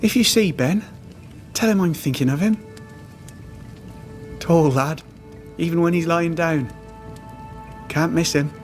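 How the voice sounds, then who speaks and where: Casting: starving, loyal trapper in a dark video game story. Higher male register, unhurried, plain rustic dialect, haunted temper. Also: soft background music. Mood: troubled, guarded. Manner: rustic dialect phrasing, plain halting sentences. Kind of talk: dramatic video game cutscene acting.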